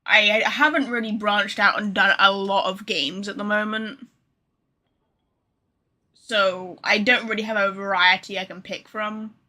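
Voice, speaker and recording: A woman's voice: mid-range voice, fairly even intonation, unhurried, bright, forceful tone. A young adult woman giving casual, informal livestream commentary while gaming. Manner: informal, sweary. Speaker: game streamer. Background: game music.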